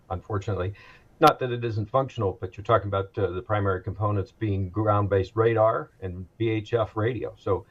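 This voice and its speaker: American male expert guest, husky and measured, on slightly muffled audio. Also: lowish voice. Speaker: aviation executive, interview guest. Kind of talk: explanatory interview answers.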